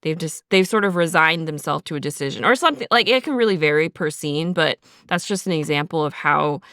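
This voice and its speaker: Casting: woman podcaster, lowish smooth voice, dry close sound, conversational explainer working through examples. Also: animated, fairly quick, a bit bright, no room sound. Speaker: podcast host and audio drama sound designer. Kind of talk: behind-the-scenes podcast discussion, explanatory.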